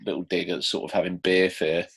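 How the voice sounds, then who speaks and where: A young man speaks in a very low, rough-edged voice. He chats casually as a musician answering interview questions, modest and self-deprecating in how he puts things. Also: little pitch movement, a little fast. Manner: self-deprecating, laughs between phrases.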